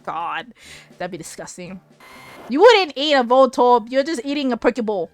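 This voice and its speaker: A woman's voice: fairly high pitch, big pitch swings, normal speed, faint music behind. Chatty woman streamer, voice wearing thin. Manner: rambling, giggly, chatty with viewers. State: losing her voice, tiring. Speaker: game streamer. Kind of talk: casual live-stream chat while gaming.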